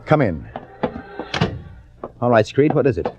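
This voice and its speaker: A scheming prosecutor, swooping intonation. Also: male voice, mid-range voice, sing-song, unhurried, darkish tone, soft background music. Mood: cool, threatening. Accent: American. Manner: urgent, commanding, calculating. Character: scheming, smooth, ambitious prosecutor, outwardly friendly, privately ruthless. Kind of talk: 1940s radio acting, with dramatic first-person narration. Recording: dull old-time radio recording.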